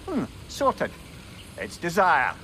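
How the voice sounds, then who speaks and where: Proud, high-voiced arguing man. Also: huge pitch swings, sharp tone, a little hiss or hum, full character voice, poor audio. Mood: confident. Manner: indignant, quick to argue. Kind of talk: video game character acting.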